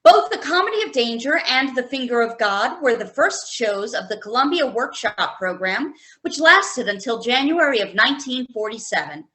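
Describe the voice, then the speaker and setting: Bright, lively woman announcer. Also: a little high, normal speed, few pauses. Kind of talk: scripted radio drama introduction and narration.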